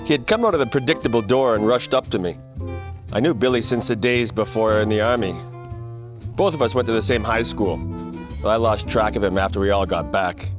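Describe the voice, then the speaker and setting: An actor playing a world-weary, wisecracking 1940s private detective, narrating in hardboiled radio-play style with deadpan asides. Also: male voice, lowish voice, a little fast, in a large hall, music in the background, natural voice. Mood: reminiscing. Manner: wry, colloquial, deadpan asides.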